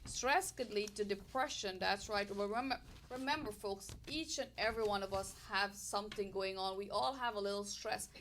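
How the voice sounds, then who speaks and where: A woman's voice: medium pitch, normal speed, hardly any pauses, fairly bright tone, a little hiss or hum. A woman with a Caribbean accent hosting a casual health talk show, greeting viewers and reading their comments in an upbeat way. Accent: Caribbean English.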